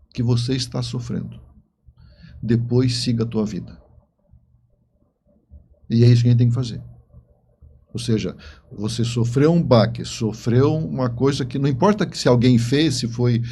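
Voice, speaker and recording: A man's voice: medium pitch, dark tone, a little hiss or hum. Male interview guest. Conversational storyteller, explains as he goes, doubles back on words. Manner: explanatory, often repeats words and seeks agreement.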